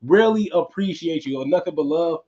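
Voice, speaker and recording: Male solo podcast host, tired and going hoarse, casual and repetitive, a bit high-pitched, muffled lo-fi recording. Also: expressive, normal speed, a little mellow. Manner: casual, rambling, repeats himself. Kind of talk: solo wrestling recap podcast.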